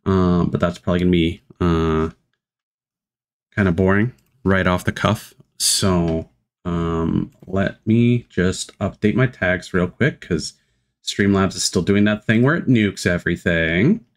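A man chatting casually as a livestreamer, muttering low-key asides and commentary into a desk microphone. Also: a little slow.

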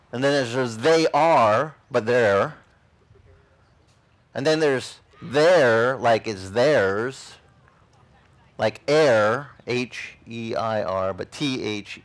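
A middle-aged man, a pastor telling a story in an outdoor beach sermon and acting out the voices of the people in it. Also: medium pitch. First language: Italian. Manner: animated, acts out other people's lines.

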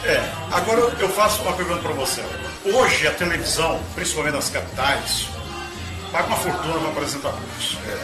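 A man with a Brazilian Portuguese accent asking an interviewee lively, prodding questions. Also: on the high side, fairly quick. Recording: echoey room with music underneath.

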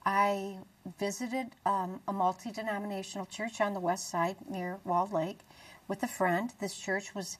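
A middle-aged American woman presenting a religious talk show in an earnest, deliberate way. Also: medium pitch, fairly even intonation, fairly bright tone, recorded from a distance, low-quality recording. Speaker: TV show host. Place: small dark TV studio, indoors.

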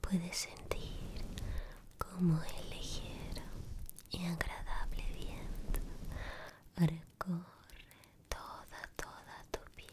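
A woman whispering a guided ASMR relaxation close to the microphone, slowly and soothingly, repeating words softly. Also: fairly low pitch, little pitch movement. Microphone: close microphone, stroked at times.

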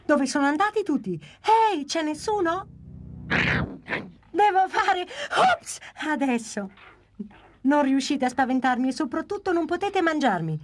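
A woman's voice: sits high, animated, unhurried. A woman voicing a young, eager and adventurous boy dinosaur in a dubbed cartoon. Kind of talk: Italian cartoon dub.

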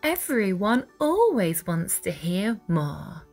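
A woman reading a children's picture book aloud with deliberate, dramatic phrasing for young listeners. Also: medium pitch, big pitch swings, a little mellow, slightly rough. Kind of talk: expressive read-aloud storytelling. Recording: music behind the voice. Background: music.

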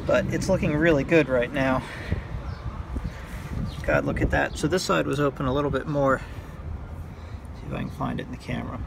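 A man talking through a hands-on repair tutorial in a halting, unscripted way, thinking aloud as he works outdoors. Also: medium pitch, steady tone. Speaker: hobbyist demonstrating a repair. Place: outdoors by a car on a windy day. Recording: clear outdoor background noise.

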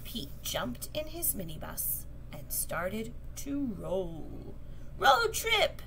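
A middle-aged woman reading a children's picture book aloud in an expressive, sing-song manner. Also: medium pitch. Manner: sing-song, expressive, slow and deliberate delivery for young children. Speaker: storytelling teacher reading aloud. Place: small classroom or office, indoors. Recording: clear background room noise.

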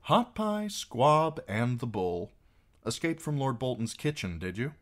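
A man's voice: mid-range voice, very animated, unhurried, pitched above the performer's own voice. Guy doing a sly friendly minstrel type man, teasing, wheedling, very up and down delivery, fantasy audiobook. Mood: wry. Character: wry, genial roguish wandering male singer.

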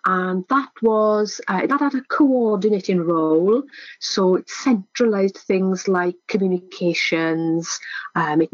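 A woman with a Welsh accent giving a measured, explanatory research presentation over slides, now and then restarting a phrase. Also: on the low side, a little mellow, no room sound, highs cut off.

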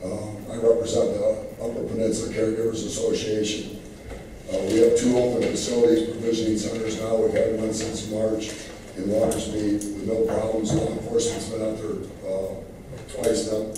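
A man's voice: lowish voice, little pitch movement, moderate pace, dark tone, a little hiss or hum. A man who is losing his voice, speaking earnestly with many fillers during public comment. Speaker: public commenter at city meeting. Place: large council chamber, standing at podium. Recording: roomy, distant council-chamber recording.

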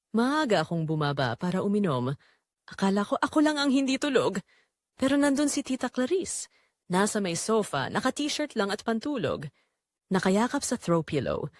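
A woman reading a scripted first-person dramatic story in a measured, expressive storytelling manner. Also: mid-range voice, no echo, studio quality. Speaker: narrator.

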